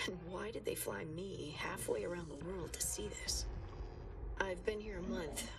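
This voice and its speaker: A low female voice from an actress as an uneasy scientist in a video game. She narrates a research log earnestly, a little distant from the mic, with clear music underneath. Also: close to an everyday voice. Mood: uneasy wonder. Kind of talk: scripted audio-log narration.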